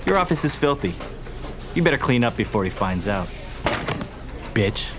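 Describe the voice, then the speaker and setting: A cocky young male conscript played by an actor in an audio drama. His voice swings widely as he taunts in brash slang, with music clearly underneath. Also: medium pitch, big pitch swings, moderate pace, lightly acted. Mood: sneering, taunting. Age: young adult.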